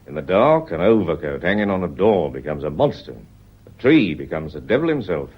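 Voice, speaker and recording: A man playing a shrewd, courteous British police inspector in a radio drama, speaking in a measured, deferential, explanatory way. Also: sits low, big pitch swings, breathy edge, heavily acted. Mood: explanatory, reassuring. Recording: dull, lo-fi old radio recording.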